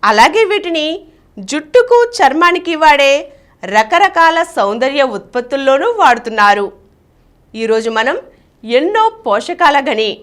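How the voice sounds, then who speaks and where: A woman's voice: on the high side, a bit bright. A woman reading a scripted, informational health narration.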